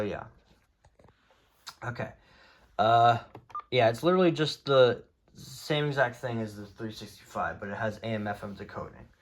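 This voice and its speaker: A man chatting informally and off the cuff as he presents a hobby collection, rambling and easily exasperated. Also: mid-range voice. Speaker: hobbyist video creator presenting a radio collection. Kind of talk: casual unscripted show-and-tell chat.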